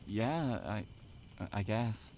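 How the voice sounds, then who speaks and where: A man's voice: on the low side, lively intonation, drawn-out words, a little mellow, dry recording. An actor playing a grumpy, reluctant 21-year-old male student in an audio drama, dry and exasperated.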